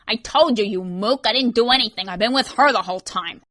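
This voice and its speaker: Brief: bitter swearing male soul eater, read by a woman, pushed high and loud, dry booth sound. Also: a little fast, no echo, lightly acted. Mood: irritated. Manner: snarling, defensive, swearing.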